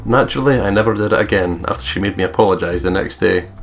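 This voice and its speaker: A man's voice: low voice, fast talker, vocal fry. A man doing let's play narration and banter, jokey and sweary, with theatrical outbursts over game music.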